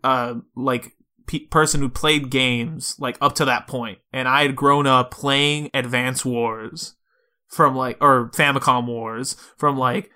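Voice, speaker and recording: A man with a bright, forceful voice at a middling pitch talks casually about games. He comes across as an informal podcast co-host, chatting with a peer and free with mild profanity. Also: steady tone, slow pace, clean tone. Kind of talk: casual gaming podcast chat.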